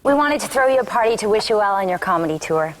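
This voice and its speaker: An actress reading a supportive, upbeat small-town diner owner at a sitcom table read. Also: female voice, medium pitch, expressive, fast talker, lightly acted, low-quality recording.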